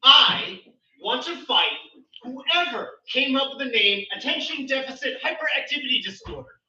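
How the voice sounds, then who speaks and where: A man's voice: very high voice, animated, normal speed, sharp tone, slight background noise. A man performing slam poetry with a dramatic, emphatic delivery. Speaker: slam poet. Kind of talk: spoken word poetry performance. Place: live poetry event venue. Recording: echoey room, distant mic, slightly muffled.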